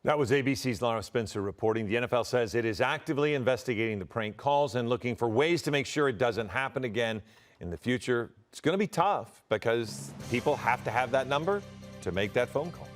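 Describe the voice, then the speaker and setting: An American man anchors a television newscast. He presents in a broadcast news style, with a voice of average pitch. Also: expressive, fairly quick, soft background music.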